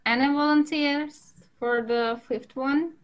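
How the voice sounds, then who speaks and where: A woman's voice: sits high, steady tone, slow pace. A woman with a Turkish accent teaching an English class over a video call, patiently calling on students.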